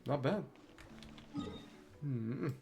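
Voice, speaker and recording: A man streams a video game, thinking aloud in a casual, musing way. His pitch sits in the middle of the male range, and faint game music plays behind him. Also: lively intonation, very slow, gravelly.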